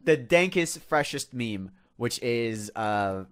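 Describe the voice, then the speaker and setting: Mid-pitched man chatting casually on a podcast. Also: animated, takes it slowly, fairly bright tone. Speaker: podcast co-host. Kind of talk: casual group chat.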